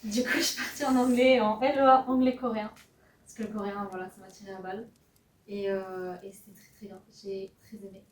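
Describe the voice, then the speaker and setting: A soft-spoken woman in her early twenties from southern France, a master's student, chatting about her studies. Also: mid-range voice, level tone, fairly quick. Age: 20s. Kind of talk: casual podcast chat. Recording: echoey room, faint hum, mic far off.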